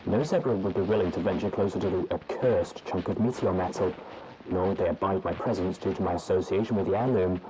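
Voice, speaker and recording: A man reading a story's journal entries aloud in a measured, formal way. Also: deep voice, background noise. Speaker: narrator. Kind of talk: audiobook narration of journal entries.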